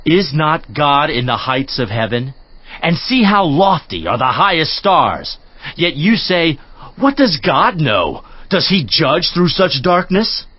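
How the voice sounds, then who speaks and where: A stern scriptural counselor acted out by a male performer delivers reproaches in a theatrical, admonishing way. His intonation is animated, and the recording is dry and slightly muffled. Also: fairly high pitch, slow pace, husky, dry recording, exaggerated voice. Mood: stern, accusing. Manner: admonishing, declamatory, rhetorical questioning. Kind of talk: dramatized scripture reading, theatrical monologue.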